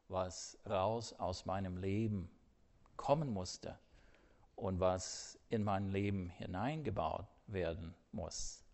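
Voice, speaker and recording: An older man preaching a sermon earnestly, swinging between loud emphasis and hushed, quiet asides. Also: on the low side.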